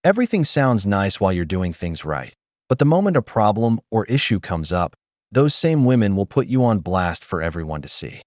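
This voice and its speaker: A man with a low voice gives critical, opinionated voice-over commentary. His pitch rises and falls a lot. The recording is dry and close. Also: big pitch swings, moderate pace, a little mellow, dry recording.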